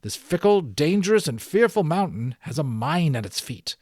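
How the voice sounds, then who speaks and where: A man voicing a courteous, calm gentleman guide in an audiobook, explaining things in a measured, theatrical way. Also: fairly high pitch, no echo, clean studio sound. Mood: grave, theatrical. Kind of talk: audiobook character dialogue.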